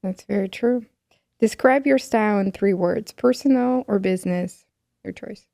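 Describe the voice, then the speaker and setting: A woman's voice: medium pitch, moderate pace, gravelly, warm tone, no echo, clean studio sound. A woman from Russia hosting a podcast, asking questions in a chatty, encouraging way. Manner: chatty, encouraging, frequent backchannels. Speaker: podcast host. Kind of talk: casual interview questions.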